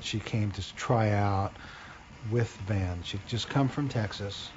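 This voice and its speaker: An older band member describing things calmly in a documentary interview. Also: male voice, on the low side, slow, lo-fi sound, background noise.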